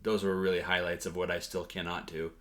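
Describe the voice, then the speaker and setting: A man with a deep, low voice talks through a relaxed vlog update on his language learning. He hesitates often, filling pauses with "um" and "like" and restarting his sentences as he thinks aloud. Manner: hesitant, many fillers and restarts. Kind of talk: casual talking-head update.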